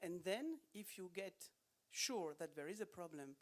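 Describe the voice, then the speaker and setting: A man's voice: sits high, expressive, unhurried. A man with a French accent, a panel expert giving an explanatory answer in English as a non-native speaker. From France. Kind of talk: explanatory answer to an audience question. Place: indoor conference.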